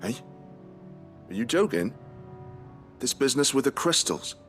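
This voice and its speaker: An actor playing a gruff, talkative mercenary gate guard in a video game, with a British accent and a blunt, colloquial, grumbling manner. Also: male voice, medium pitch, sing-song, unhurried, fairly bright tone, faint music behind. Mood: irritated.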